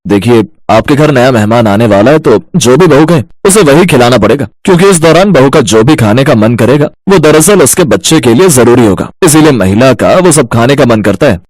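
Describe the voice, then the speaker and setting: A man's voice: mid-range voice, lively intonation, a little fast, few pauses, a little mellow, no room sound, lightly acted. A man voicing genial, approving village men in a moral story. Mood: advising.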